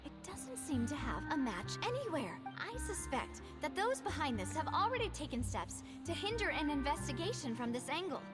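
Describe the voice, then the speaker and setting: A woman's voice: sits high, sing-song, normal speed, hardly any pauses. A woman playing a poised young lady leader in an anime video game, articulate, spirited and determined, with a trace of grief. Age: young adult. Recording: game music behind the voice.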